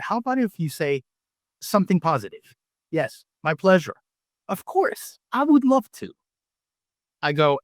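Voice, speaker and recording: A man's voice: fairly high pitch, dry recording. Mexican-accented man, close dry sound. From Mexico City, Mexico. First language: Spanish. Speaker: restaurant owner and chef, podcast guest. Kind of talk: conversational interview answers with anecdotes.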